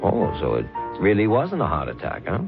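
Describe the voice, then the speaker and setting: Slangy nightclub owner, very low gravelly voice, wisecracking, old radio drama, dull recording. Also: male voice, wildly swooping pitch, fairly quick, full character voice. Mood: jovial, evasive. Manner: slangy, folksy, hesitating tough-guy talk. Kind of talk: old radio mystery acting. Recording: dull vintage radio, faint music.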